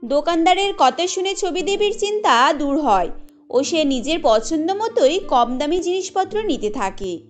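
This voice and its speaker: A woman's voice: high-pitched, very animated, measured pace, faint music behind. A woman narrating a scripted cartoon story.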